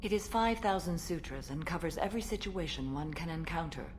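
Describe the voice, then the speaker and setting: A woman playing an ancient, stern and serene alien warrior in a video game, speaking formally and with measured solemnity. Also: sits low, expressive, a little hiss or hum. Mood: solemn, resolute. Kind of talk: video game dialogue acting.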